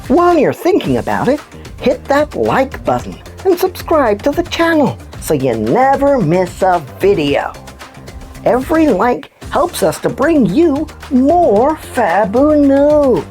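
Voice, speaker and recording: A woman voicing an excitable, playful puppet news presenter on a children's show, with a theatrical, expressive delivery. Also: high-pitched, slow pace, faint music behind, exaggerated voice. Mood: upbeat, explanatory.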